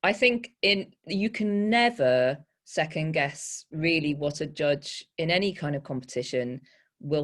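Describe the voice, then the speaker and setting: A poet and panel guest giving thoughtful, hesitant answers, often self-correcting and gently wry. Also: mid-range voice.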